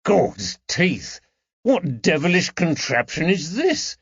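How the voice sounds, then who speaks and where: A man's voice: on the high side, sing-song, slow pace, a bit bright, slightly rough, no room sound, pitched above the performer's own voice, heavily acted. Man playing a blustering burly constable, muffled recording. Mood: disgusted. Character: burly, blunt, touchy constable, easily affronted. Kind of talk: audiobook character dialogue.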